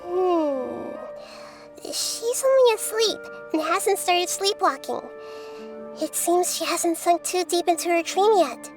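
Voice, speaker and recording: A little goddess from a video game with a very high, youthful voice. She is gentle and wise, speaking in measured, articulate phrases over clearly audible music. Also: animated, exaggerated voice. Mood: calm, reassuring. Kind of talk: video game cutscene acting. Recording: music playing behind the voice.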